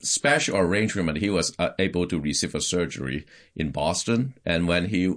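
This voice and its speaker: A middle-aged man from Hong Kong speaks in a very deep voice. He is a pastor telling his life story as a guest on a casual interview show. He hesitates often and restarts his sentences. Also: expressive, a bit bright. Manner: halting, many restarts and fillers.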